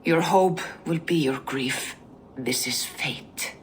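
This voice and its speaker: An actress playing an ominous female seer in a video game, speaking slowly and intensely, sometimes dropping to a whisper. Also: sits low, slight background noise. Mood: foreboding. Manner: slow, intense prophetic delivery, dropping to whispers. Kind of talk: video game cutscene acting.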